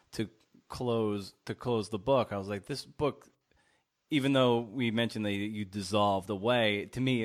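Male podcast host, fairly low register, halting conversational delivery with repeated words. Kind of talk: conversational interview.

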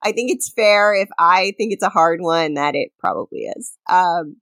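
A woman's voice: fairly low pitch, moderate pace, fairly bright tone, dry recording. A woman co-hosting a podcast in a casual, playful, joking chat style. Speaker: podcast co-host and game host.

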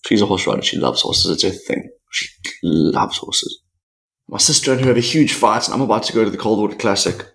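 Low-voiced man, animated. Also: fairly quick, vocal fry. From South Africa. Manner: animated, rambling storyteller with acted-out quotes. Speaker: podcast guest, professional surfer. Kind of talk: candid interview conversation.

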